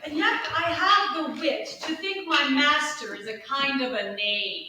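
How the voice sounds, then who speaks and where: A woman playing a simple, cheeky, lovesick servant in a staged Shakespearean comedy, declaiming with drawn-out comic emphasis. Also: high voice, pushed and bright, full character voice. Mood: lovesick, musing. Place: live staged reading in an echoey room, distant mic. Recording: echoey room from far off, faint noise.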